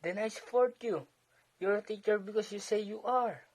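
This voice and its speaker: A man acting a calm, supportive young husband in an amateur stage play. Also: sits high, normal speed. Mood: reassuring.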